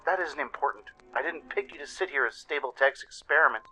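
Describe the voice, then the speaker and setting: A man's voice: mid-range voice, fast talker, pushed and bright, husky, soft background music, voice pushed up, rough recording. A man voicing an older, bossy mare, a disembodied spirit giving urgent commands in an audio drama. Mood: brusque.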